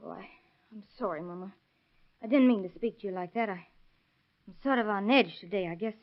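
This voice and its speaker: A woman's voice: medium pitch, fairly quick, natural voice. An actress playing an irritable, resentful young wife and mother, snappy and weary, in a 1930s radio drama. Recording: muffled vintage 1930s radio recording.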